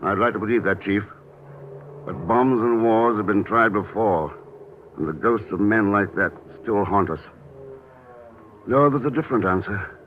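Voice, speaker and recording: An actor playing a calm, confident male secret agent, speaking in a measured way, 1940s radio-drama style. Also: on the low side, lightly acted. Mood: reflective. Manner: measured, unruffled. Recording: tinny old radio recording with faint hiss.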